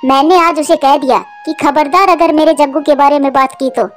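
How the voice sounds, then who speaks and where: A woman voicing a sharp-tongued, doting mother crow who nags indignantly in a cartoon. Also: high voice, fairly even intonation, normal speed, soft background music, close to an everyday voice. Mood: snippy. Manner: indignant, nagging. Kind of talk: Hindi cartoon voice acting.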